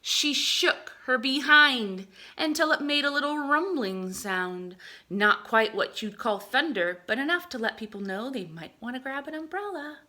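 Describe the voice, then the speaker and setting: A woman reading a picture book aloud to young children in an expressive way, adding chatty explanations and questions for her listeners. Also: sits high, measured pace. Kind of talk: animated read-aloud with chatty asides and questions.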